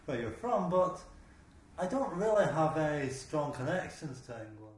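A man's voice: on the high side, very animated, normal speed, a little hiss or hum. Man in a very echoey room. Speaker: interviewee. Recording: very echoey room, faint ambience.

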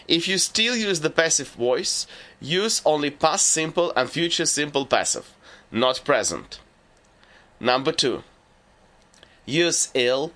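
A man giving scripted narration for a language lesson, laying out rules and lists in a measured, deliberate way. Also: medium pitch, sharp tone. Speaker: language teacher.

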